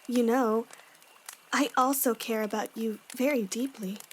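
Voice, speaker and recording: A woman playing a gentle but firm young warrior, sincere and a little deadpan, in a fan audio drama. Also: on the high side, unhurried, a little hiss or hum, natural voice, poor audio.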